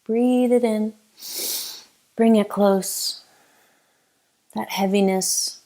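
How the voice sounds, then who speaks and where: Slow, creaky-voiced woman guiding meditation. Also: mid-range voice, fairly even intonation. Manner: gentle, unhurried instruction with long pauses. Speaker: meditation teacher. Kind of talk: guided meditation.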